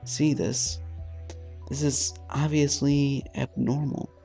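A man's voice: medium pitch, level tone, slow, vocal fry, dark tone. A man hosting a let's play, reading visual novel narration aloud dramatically and stumbling over the text now and then. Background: faint game music.

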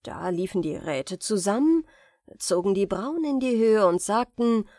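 A woman reading a fairy tale as an audiobook narrator, in expressive, carefully enunciated storytelling. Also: medium pitch, unhurried, no room sound, studio quality.

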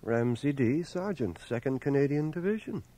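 Actor as dazed sergeant, mellow and hesitant. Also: male voice, mid-range voice, animated, moderate pace, close to an everyday voice. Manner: hesitant, faltering. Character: dazed, polite wounded male army sergeant. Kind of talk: radio drama acting.

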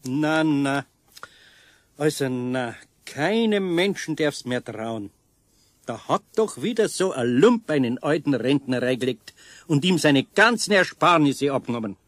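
An actor playing a kindly older carpenter with a Bavarian accent, grumbling good-naturedly. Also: male voice, on the high side, lively intonation, measured pace, a bit bright, no echo. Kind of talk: 1970s radio play acting.

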